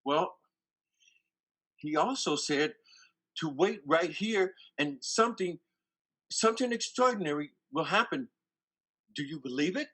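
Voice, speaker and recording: A man playing an excitable, incredulous biblical disciple in a church dramatic reading, agitated, stammering and insistent. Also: fairly high pitch, a little slow, pauses often.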